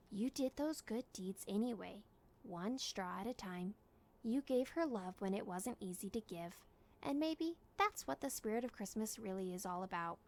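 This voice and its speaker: A woman voicing a gentle, caring storybook mother who speaks softly and reassuringly to her young son. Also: mid-range voice. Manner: soft, tender, reassuring.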